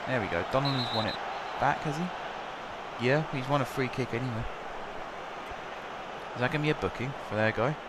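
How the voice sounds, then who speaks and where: A man's voice: mid-range voice, moderate pace. Guy with a scratchy sore throat rambling over his footy game, lots of ums, noisy background. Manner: chatty, rambling, filler-heavy. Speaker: gaming commentator. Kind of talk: casual game commentary.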